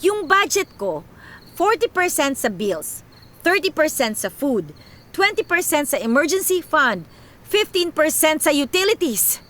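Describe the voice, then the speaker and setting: An actress playing an overworked young millennial daughter with a Filipino accent, theatrical and sarcastic in a comedic radio drama. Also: female voice, high-pitched, sing-song, measured pace, fairly bright tone, full character voice. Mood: exasperated ranting. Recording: music behind the voice.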